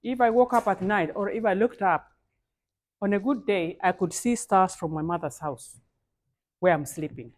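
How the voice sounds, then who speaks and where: A woman with a Kenyan accent delivers a motivational talk as a guest speaker. Her voice sits at a medium pitch, and she is emphatic, repeating key phrases and addressing her listeners directly. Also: moderate pace. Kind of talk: motivational speech. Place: speaking live at a conference event.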